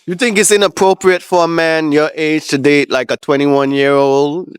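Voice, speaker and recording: A man's voice: on the high side, little pitch movement, moderate pace, smooth, dry recording, studio quality. A man interviewing people on the street, asking brief questions and answering with short affirmations.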